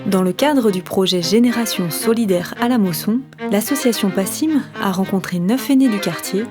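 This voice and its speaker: A woman reading a scripted documentary voice-over in a calm, measured way. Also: mid-range voice. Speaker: documentary narrator. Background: string music.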